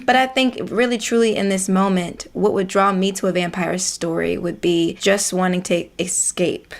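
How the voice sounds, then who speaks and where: Expressive woman, actress in discussion. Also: on the low side, normal speed, vocal fry. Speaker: actress, panel guest. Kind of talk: casual post-show discussion.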